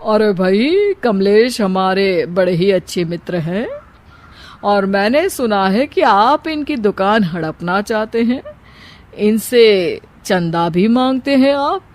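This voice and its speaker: A woman's voice: medium pitch, animated, slow pace, a little mellow. A woman voicing an influential politician man in a cartoon story, with an authoritative manner. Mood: stern. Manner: authoritative, admonishing. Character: stern, influential politician man, secretly a disguised daughter-in-law. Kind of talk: cartoon moral-story acting.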